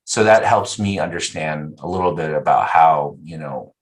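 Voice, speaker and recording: A man raised in the United States, a nonprofit executive giving measured, explanatory interview answers. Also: extremely low pitch. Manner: measured, explanatory, uses "you know" and "right?" often.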